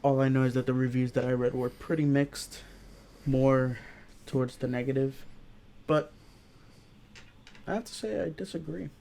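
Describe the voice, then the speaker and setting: A male co-host with plenty of vocal fry gives his opinions in a casual chat. He comes across laid-back and agreeable, talking in a loose, conversational way. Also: medium pitch, normal speed, long pauses, dark tone. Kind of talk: casual chat, film review.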